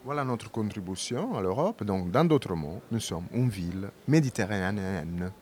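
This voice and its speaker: A man working as a live interpreter, rendering a speaker's words in the first person in a measured, slightly hesitant way. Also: on the low side, expressive, darkish tone, slight background noise.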